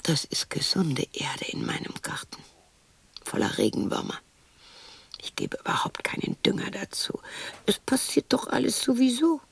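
An actress playing a lonely, bitter widow of sixty in a radio drama monologue, rambling to herself in agitated mutters and sudden outbursts, breathy and husky. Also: female voice, mid-range voice, wildly swooping pitch, moderate pace, heavily acted. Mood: wistful, rambling. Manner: agitated muttering, swinging between whispers and outbursts.

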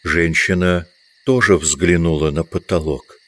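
A man's voice: low-pitched, steady tone, unhurried, a bit bright. A man reading scripted literary narration as the narrator of an audio drama.

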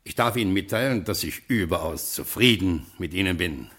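A man's voice: low voice, animated, moderate pace, fairly bright tone, breathy edge, exaggerated voice. An actor playing a boastful, self-assured mafioso businessman in an old radio crime play. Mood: smug. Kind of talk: 1950s radio drama acting.

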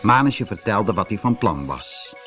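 A man's voice: fairly low pitch, very animated, unhurried, a bit bright, soft background music. A man narrating a scripted children's story as an audiobook reader.

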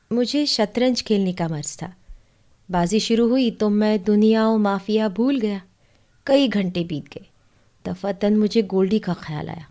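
A woman's voice: mid-range voice, warm tone. A woman narrating a literary short story in the first person, audiobook style.

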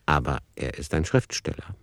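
A man playing a reflective author who narrates a literary radio play in a deliberate, ponderous manner. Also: rumbling low, sing-song, vocal fry, fairly bright tone, dry recording, heavily acted. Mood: measured, ironic. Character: reflective male author musing over his novel.

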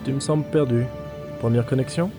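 A man playing a reserved, helpful ranger in a fantasy audio drama, with a calm, instructive delivery. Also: medium pitch, brisk, music in the background, natural voice. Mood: calm, explanatory. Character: male ranger player in a fantasy game world, gruff but helpful.

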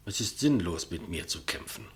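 An actor playing a humble, despised executioner in a 1950s German radio play, deferential, plain-spoken and reflective. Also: male voice, sits low, very animated, moderate pace, husky, exaggerated voice. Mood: calm, humble. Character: humble, despised old-school male executioner, quietly matter-of-fact. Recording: muffled vintage 1959 radio recording.

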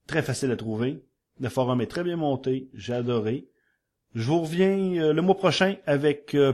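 A man's voice: on the high side, a little mellow. A man with a Quebec French accent giving an informal, enthusiastic review segment as a podcast columnist.

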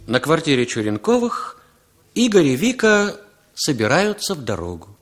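A man's voice: fairly high pitch, huge pitch swings, normal speed. A man narrating scene changes in a 1960s Soviet radio play. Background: music.

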